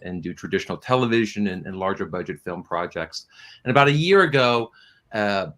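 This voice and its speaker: A man's voice: lowish voice, fairly bright tone. A man speaking as an interview guest, explaining his company's work in a conversational, businesslike way.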